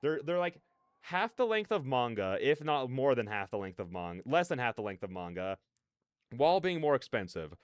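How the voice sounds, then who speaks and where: A man's voice: mid-range voice, sing-song, normal speed, clean tone, dry recording, studio quality. A man hosting a livestream, chatting casually in a rambling, slangy, digressive way. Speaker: livestreamer hosting a reaction stream.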